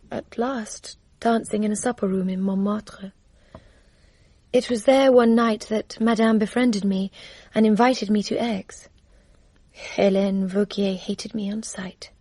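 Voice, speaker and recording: An actress playing a gentle, anxious young Englishwoman recounting her ordeal in a radio drama, in a soft, breathy voice. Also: medium pitch, dry recording. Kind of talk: radio drama acting and narration.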